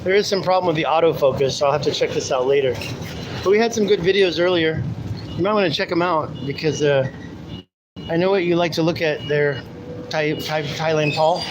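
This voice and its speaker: A man's voice: a little high, brisk, muffled recording. A man chatting casually to his viewers on a live stream while riding a city bus, rambling as he goes and talking through a pulled-down mask. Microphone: handheld camera mic, speaking through a pulled-down mask. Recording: bus noise behind. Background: bus engine and traffic.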